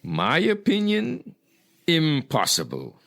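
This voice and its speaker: Man acting a smug, theatrical high priest, swooping delivery. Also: huge pitch swings, creaky, fairly bright tone, heavily acted. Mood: scornful, emphatic. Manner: theatrical, dismissive, deliberate. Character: smug pragmatic high priest favouring assimilation. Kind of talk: old-time radio style acting.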